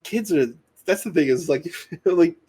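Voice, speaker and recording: A man's voice: fairly high pitch, a little slow, warm tone, breathy edge. A man co-hosting a podcast, joking loosely and laughing often through casual chat. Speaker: podcast co-host.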